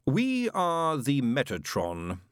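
A man's voice: medium pitch, huge pitch swings, slow, clear, resonant tone, dry recording, clean studio sound, pitched above the performer's own voice. A man voicing an imperious heavenly spokesman with a stately, formal manner in a storybook reading. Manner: stately, formal, coolly insistent.

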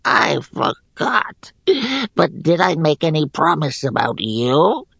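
A man voicing a huge, cruel warrior goblin leader in a fantasy audiobook, wheezing and rasping, with menacing, mocking, drawn-out words. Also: fairly high pitch, very animated, sharp tone, no room sound, clean studio sound, pitched above the performer's own voice, exaggerated voice. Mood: gloating cruelty.

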